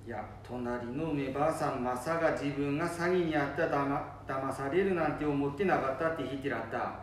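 A man's voice: medium pitch, fairly quick, natural voice. Distant, echoey chatty grandpa acted by a man. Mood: chatty, recounting. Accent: Nambu dialect of northern Japan. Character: chatty old grandfather recounting village gossip. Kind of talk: amateur radio drama acting. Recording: distant echoey room with faint noise.

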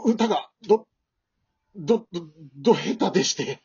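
A woman's voice: fairly low pitch, expressive, a little slow, gravelly, dark tone, slightly rough, dull audio, full character voice. A woman playing a timid, flustered married man in an amateur radio drama, stammering, apologetic and self-deprecating. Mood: nervous, flustered.

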